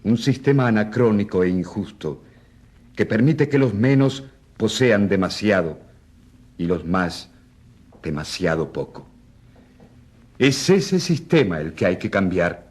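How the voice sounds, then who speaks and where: An actor playing an earnest, crusading rural priest, giving impassioned, deliberate oratory in a radio drama. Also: male voice, lowish voice, lively intonation, darkish tone, slightly rough. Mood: impassioned, indignant.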